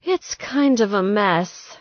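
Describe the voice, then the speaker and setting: A woman's voice: mid-range voice, expressive, slow pace, dry recording, lo-fi sound. A woman voicing a sweet, distressed young woman gamer in an audiobook, plaintive and exasperated. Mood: hesitant explaining. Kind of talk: audiobook character dialogue.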